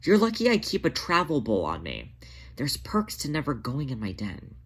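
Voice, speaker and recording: A man's voice: mid-range voice, wildly swooping pitch, moderate pace, a bit bright, husky, exaggerated voice. Man playing a male cat, anxious protective brother, strained, pleading, storybook dialogue. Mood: relieved, scolding. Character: worried male cat, urgently caring for his brother.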